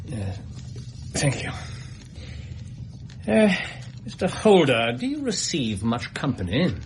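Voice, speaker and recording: A shrewd Victorian English detective, courteous and measured. His medium-pitched voice moves widely in intonation, with precise, probing phrasing. Radio drama, with faint noise underneath. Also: wildly swooping pitch. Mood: calm, probing. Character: astute, unhurried Victorian gentleman detective.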